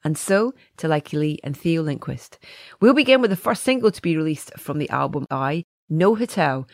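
Woman, podcast host, slightly gravelly voice, low pitch, effusive chatty delivery, dry sound. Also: lively intonation, normal speed, no echo. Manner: chatty, effusive, with frequent ums and restarts. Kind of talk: casual podcast chat and interview.

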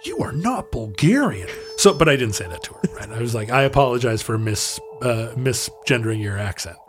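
A middle-aged man, co-hosting a podcast and telling stories casually in a halting, wry way. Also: medium pitch, very animated, faint music behind. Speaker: podcast co-host. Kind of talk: casual conversational storytelling.

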